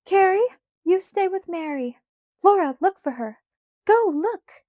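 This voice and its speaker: A woman voicing a frantic mother in an audiobook, calling out with shrill urgency. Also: lively intonation, unhurried, dark tone, no room sound, clean studio sound. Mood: urgent, shouting. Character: frantic mother calling for her lost child. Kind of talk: audiobook character voice.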